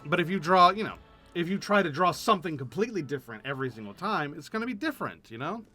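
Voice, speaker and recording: Streamer guy chatting casually, high bright voice, really emphatic, repeats stuff for stress. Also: lively intonation, quick pace, soft background music. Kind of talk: casual livestream chat.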